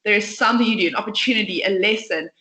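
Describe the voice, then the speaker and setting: Chatty, outspoken young woman founder, fast bright delivery, roomy sound. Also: mid-range voice. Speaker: CEO and co-founder, guest. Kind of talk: podcast interview. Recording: echoey room.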